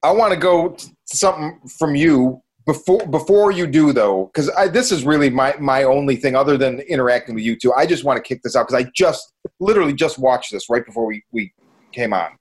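A man's voice: mid-range voice, fairly quick, husky. A man reviewing movies casually as a podcast co-host, enthusiastic and swearing freely.

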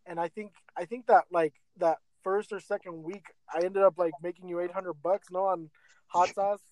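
A man's voice: high voice, little pitch movement, moderate pace, no room sound, poor audio. A man, talking in a casual, filler-heavy way and dropping "like" and "man" constantly. The sound is dry and close. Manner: chatty, filler-heavy, says "like" and "man" a lot. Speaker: guest, friend helping the business. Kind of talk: casual interview talk.

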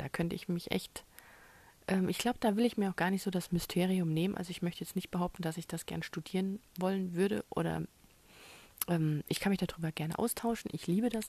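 Musing German speaker with vocal fry. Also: medium pitch, mellow. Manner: rambling and musing, often dropping to a whisper. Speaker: novelist. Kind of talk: casual solo monologue.

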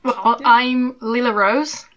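A seventeen-year-old woman from France, speaking in an earnest, measured way as a guest in an interview. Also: a little high, fairly even intonation, fairly bright tone. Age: teens. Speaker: podcast co-host, guest. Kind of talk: casual interview chat. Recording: dull lo-fi mic.

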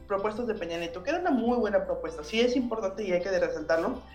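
A Mexican woman editor, a guest in an interview, relaxed and self-deprecating, with a Mexican Spanish accent. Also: mid-range voice, fast talker, echoey room, faint music behind. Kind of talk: casual interview.

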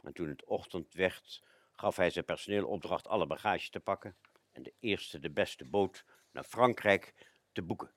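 An actor playing a male chauffeur recounting his master's tale in a radio play, with measured, expressive phrasing. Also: low-pitched, no room sound, studio quality. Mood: grave. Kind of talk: dramatic storytelling radio play.

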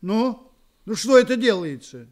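Excitable blunt friend, high-pitched, very animated, badgering, emphatic, radio drama. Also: male voice, measured pace. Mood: exasperated. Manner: badgering, emphatic, rhetorical questioning. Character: exasperated, blunt, excitable male friend of a detective. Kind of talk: Russian radio play acting.

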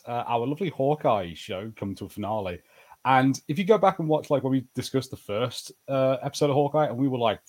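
A man's voice: mid-range voice, a little mellow. A man co-hosting a podcast, giving his opinions in casual pop-culture banter. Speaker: podcast co-host.

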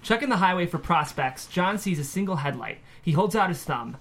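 A man's voice: sits high, animated, a little fast, fairly bright tone. A man reading scripted stage directions as the narrator of a screenplay table read.

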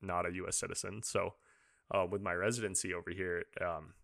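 A man's voice: low-pitched, flat delivery, fairly quick, gravelly, a bit bright, no echo, studio quality. A young man from Liverpool with an American accent, hosting a podcast in an enthusiastic, casual way. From Liverpool, England. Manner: enthusiastic, casual, says dude a lot. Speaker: podcast host. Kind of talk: casual interview chat.

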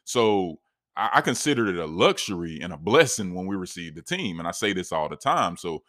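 A man's voice: deep voice, big pitch swings, a little fast, fairly bright tone, dry recording, clean studio sound. A man in his late thirties from North Carolina, talking casually about sports fandom with a rambling, conversational manner and a slightly scratchy voice. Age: 30s. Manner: rambling, conversational, many restarts and asides. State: scratchy voice, sipping tea. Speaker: sports fan commentator. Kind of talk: casual monologue.

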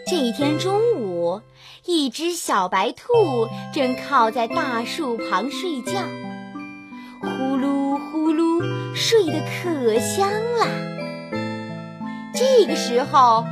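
A woman storyteller narrating a scripted fairy tale for young children in an expressive, drawn-out manner. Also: high voice. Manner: expressive, drawn-out storytelling with gentle sentence particles. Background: children's music.

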